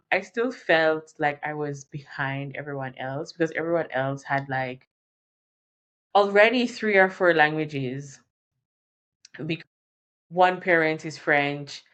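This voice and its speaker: A woman's voice: sits low, a bit bright, dull audio. A woman from Jamaica explains things informally as an advice presenter, conversational, repeating key terms and correcting herself as she goes. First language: Jamaican Patois and English. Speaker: career and relocation advice presenter.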